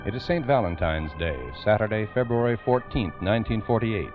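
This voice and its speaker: A man's voice: fairly low pitch, lively intonation, a little fast, over loud music. An American man narrating an old radio crime program in a solemn, emphatic, theatrical manner, on a muffled recording. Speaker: narrator and host.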